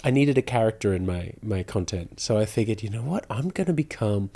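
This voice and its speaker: Australian man from Brisbane, thirties, cheerful guest, lower-pitched voice, laughs a lot. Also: animated, fairly quick, creaky, dark tone, breathy edge. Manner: upbeat storyteller who laughs easily. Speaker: guest, content creator. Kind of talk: casual interview chat.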